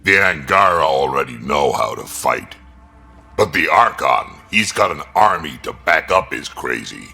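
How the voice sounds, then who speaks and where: A battle-hardened male alien warrior from a video game, speaks in a rock-bottom, gravelly voice with a hard, forceful edge. He is blunt and ruthless, clipping his words like a soldier. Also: sing-song, measured pace, heavily acted. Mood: resolute. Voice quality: very deep, gravelly.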